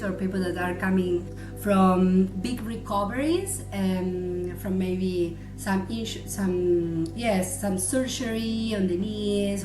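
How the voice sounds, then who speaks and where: Argentine lady psychologist explaining stuff, keeps asking "yes?", echoey room w/ music. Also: medium pitch. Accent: Argentine Spanish. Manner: explanatory, often checks in with "yes?" and "right?". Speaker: psychologist presenting a webinar. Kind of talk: webinar presentation.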